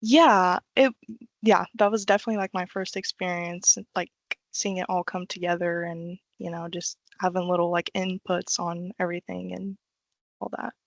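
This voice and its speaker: A woman's voice: lowish voice, fairly even intonation, normal speed, creaky, no echo. A young woman from Illinois, an intern, chatting casually as a guest and peppering her talk with "like". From Illinois, United States. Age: young adult. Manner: informal, frequent "like". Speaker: engineering intern, podcast guest. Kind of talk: casual chat.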